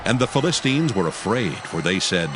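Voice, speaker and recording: A man narrating a dramatized Bible in a measured, formal reading style. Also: fairly low pitch, big pitch swings.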